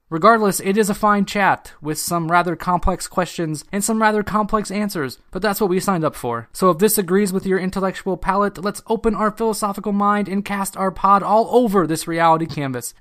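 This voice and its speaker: Dude hosting a podcast, slightly high voice, friendly and laid back. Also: male voice, fairly bright tone. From United States. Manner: friendly, easygoing, laughs often. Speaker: podcast host. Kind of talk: scripted intro then casual interview.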